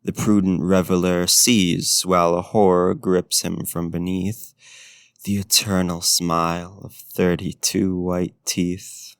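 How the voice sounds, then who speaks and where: Man playing a deep, slow, courtly vampire. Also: flat delivery, no echo, studio quality. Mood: solemn, poetic. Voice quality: smooth, deep. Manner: formal, genteel, theatrical phrasing. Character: courtly, bookish, flirtatious male vampire. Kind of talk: ASMR roleplay, intimate talk and poetry reading.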